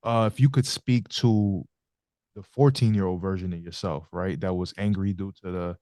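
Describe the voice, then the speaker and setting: A man hosts a podcast interview, posing long, considered questions in a calm, measured way. His voice sits on the low side. The sound is dry and close-miked. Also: no echo. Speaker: podcast host.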